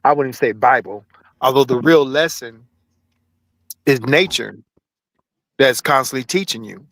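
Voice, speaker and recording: A man's voice: medium pitch, normal speed, a bit bright, no room sound, rough recording. A man hosting a livestream in casual chat, rambling, profane and self-assured. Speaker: livestream host.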